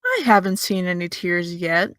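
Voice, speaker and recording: A woman's voice: on the low side, moderate pace. Woman acting sardonic young American woman, Eve. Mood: sarcastic, cutting. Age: young adult. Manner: dry, teasing, deadpan. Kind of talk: audio drama dialogue.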